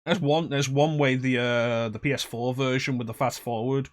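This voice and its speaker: A man's voice: medium pitch, fairly even intonation, normal speed. A young man hosting a game stream, chatting casually in a rambling, self-deprecating way. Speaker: streamer host. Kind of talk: casual chat while gaming.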